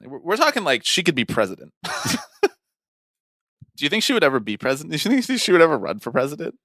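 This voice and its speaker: A man's voice: on the high side, huge pitch swings, fast, sharp tone, no echo, studio quality. A man co-hosting a podcast in casual banter, with a teasing, opinionated way of talking. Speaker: podcast co-host.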